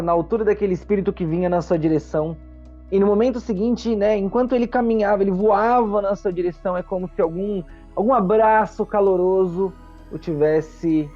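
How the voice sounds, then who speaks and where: A man's voice: a little high, mellow, highs cut off. A man with a Brazilian accent narrating a tabletop fantasy game as game master, in an explanatory storytelling manner. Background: background music.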